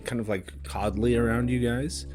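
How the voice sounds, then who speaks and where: A man running a tabletop game, narrating casually and haltingly in a conversational way. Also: medium pitch, fairly even intonation, darkish tone. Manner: halting, conversational, peppered with "like" and "kinda". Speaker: game master. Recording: instrumental music bed behind the voice.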